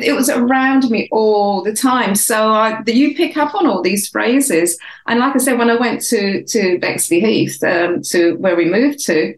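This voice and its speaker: Older English woman in an echoey room. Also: medium pitch. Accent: English, softened London. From England. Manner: reflective, rambling with many restarts. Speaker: author and guest. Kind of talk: casual interview chat. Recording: roomy echo.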